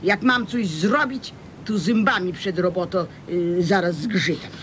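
A woman's voice: measured pace, natural voice. An actress playing a brash, scheming hustler woman in a radio comedy serial, bossy and wheedling, with a Podlasie Polish accent. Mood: bossy, defiant. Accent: Podlasie (eastern Polish). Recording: faint rumbling background noise.

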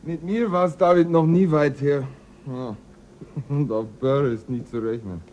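A man's voice: on the high side, measured pace, a little mellow, slight background noise, natural voice. An actor playing a tired, exasperated crewman caring for a sick shipmate in a radio play. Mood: weary. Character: weary male crewman Mill, nursing a sick mate.

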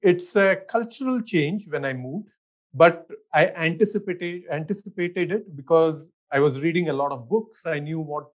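A man's voice: fairly high pitch, moderate pace. A middle-aged man with an Indian accent, an architect answering interview questions in an easygoing way. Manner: easygoing, thinks aloud with fillers and restarts, laughs often. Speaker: architect, guest.

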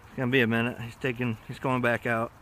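A man with a bright, forceful voice talks casually as he fishes from a riverbank. His speech is slangy and full of exclamations, at a middling pitch. It is picked up outdoors on a body-worn action camera, with some steady noise behind him. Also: fast. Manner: slangy, exclaiming to himself and companion. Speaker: vlogger fishing from shore. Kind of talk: casual excited fishing chat.

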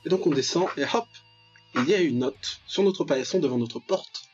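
Male let's player, higher voice, ironic and full of interjections, dull mic over game music. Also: lively intonation, moderate pace, darkish tone, breathy edge. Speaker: let's play host. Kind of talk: playful game commentary, reading visual novel text aloud.

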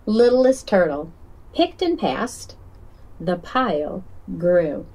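Slow, animated woman reading a picture book. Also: on the low side, rough recording. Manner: deliberate, expressive storytelling. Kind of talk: scripted children's story narration.

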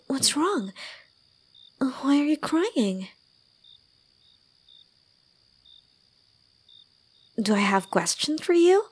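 ASMR roleplay: gentle young girlfriend caretaker, played by a woman. Slow, breathy, soft, soothing, a little teasing. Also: high-pitched, exaggerated voice. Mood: puzzled, soft. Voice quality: soft, breathy, often slipping into whispers. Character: gentle, doting young woman caring for a drunk lover. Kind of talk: ASMR roleplay, intimate one-sided dialogue.

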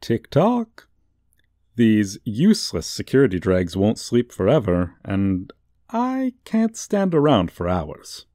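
A man playing a gloating, suave supervillain in a comedic ASMR roleplay, with a theatrical, teasing, drawn-out delivery. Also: lowish voice, wildly swooping pitch, dark tone, dry recording, clean studio sound, full character voice. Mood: triumphant, flirtatious.

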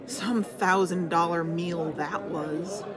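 A woman's voice: on the low side, steady tone, slow, crowd noise. A woman playing a blunt, sarcastic amateur investigator in a conversational audio drama. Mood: exasperated, blunt.

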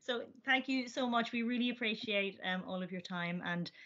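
A woman's voice: medium pitch, moderate pace, fairly bright tone. A young adult woman chatting casually as an interviewer on a video call. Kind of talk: casual conversation. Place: indoor room on a video call. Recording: dull video call audio.

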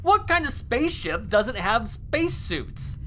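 A woman's voice: very animated, slow pace. A woman playing a frantic, desperate stowaway pleading for life in an audio drama, sometimes sarcastic. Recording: faint noise with low rumble.

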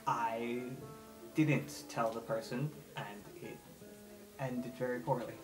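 A player voicing a supportive student friend in a tabletop game, hesitant and gently reassuring. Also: medium pitch, fairly even intonation, slow, lightly acted, low-quality recording. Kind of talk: improvised tabletop roleplay. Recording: music behind the voice.

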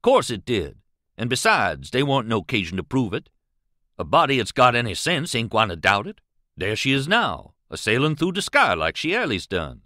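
Guy doing a scared superstitious southern man from a storybook, pleading and emphatic, bright voice, close dry sound. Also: mid-range voice, huge pitch swings, moderate pace, dry recording. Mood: stubborn, insistent. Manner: earnest, emphatic dialect speech. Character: frightened, pleading grown man, superstitious and stubborn. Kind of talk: audiobook character voice.

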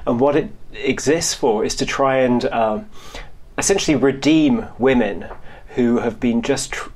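Husky-voiced man explaining haltingly. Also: medium pitch, a little mellow. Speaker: history professor being interviewed. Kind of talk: informal expert explanation.

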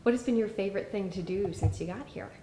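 A woman's voice: medium pitch, fast, mellow, a little hiss or hum. A woman interviewing visiting students off camera, asking friendly, encouraging follow-up questions. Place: school library, off camera. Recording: roomy library echo.

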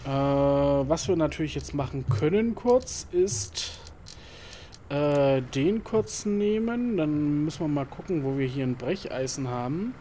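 Rambling gamer man, higher voice. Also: lively intonation, a little slow, mellow, slight background noise. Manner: rambling, many fillers, mutters to himself. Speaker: gaming YouTuber. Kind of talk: casual let's-play commentary.

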